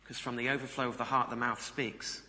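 A man preaches with a strikingly bright, forward voice at a middle pitch. His sermon delivery is conversational, often turning to the listeners with rhetorical questions. The sound carries the long reverb of a hall. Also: little pitch movement, fairly quick. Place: large reverberant room before a congregation.

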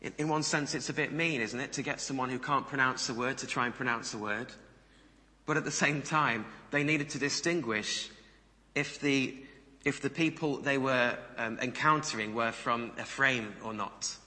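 A man's voice: medium pitch, normal speed, bright, forceful tone. A man giving a sermon in a conversational manner, putting questions to his listeners. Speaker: preacher. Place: large reverberant room before a congregation. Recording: reverberant hall sound.

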